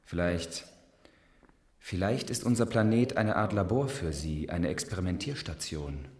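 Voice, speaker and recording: A man's voice: low-pitched, animated, a little slow. A man acting an alert, practical space castaway in a German science-fiction radio drama, speaking in short, questioning phrases. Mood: musing. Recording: echoing enclosed space, clear noise.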